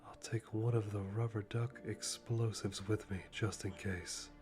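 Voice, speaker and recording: Methodical male hitman, acted by a man, ASMR monologue: soft, lowish, deliberate, dryly amused, light music underneath. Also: flat delivery, natural voice. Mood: calm, calculating. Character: calm, methodical male hitman planning a kill.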